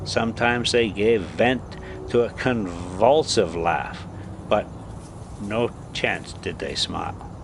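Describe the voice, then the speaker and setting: A man reading a story aloud as an amateur host, halting and stumbling over words now and then, with casual delivery. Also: fairly low pitch, noisy background. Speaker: host reading stories aloud for a pet video channel.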